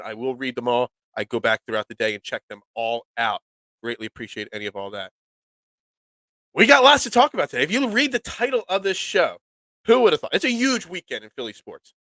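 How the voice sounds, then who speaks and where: A man hosting a live sports talk show, nasal and congested from a cold, excitable and rambling. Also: medium pitch, dry recording. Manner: excitable, rambling, restarts often.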